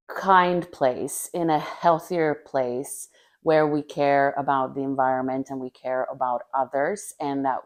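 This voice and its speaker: A low-pitched woman from Finland, an artist in her thirties, answers quick questions in a casual interview. She speaks earnestly and thoughtfully, warming into small laughs, from a table in a bright, art-filled living room. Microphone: camera across a table.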